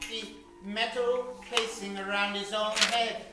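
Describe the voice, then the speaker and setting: A man's voice: sits high, little pitch movement, unhurried, heavy background noise. A man playing an ominous storyteller, narrating an old-time radio horror tale with dramatic, suspenseful phrasing. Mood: excited, suspenseful. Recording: echoey stage with music underneath.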